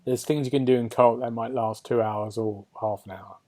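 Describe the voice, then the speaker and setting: A British man with a medium-pitched voice hosts a relaxed gaming chat. He talks in an inquisitive, conversational way, often tagging questions onto the ends of his sentences. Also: normal speed. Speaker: podcast host. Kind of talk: casual chat about video games.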